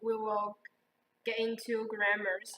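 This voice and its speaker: Bright-voiced young student interviewer. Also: female voice, mid-range voice, completely flat delivery, takes it slowly, a little hiss or hum, rough recording. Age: 20s. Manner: friendly, asks simple questions, small grammar slips. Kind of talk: casual interview questions.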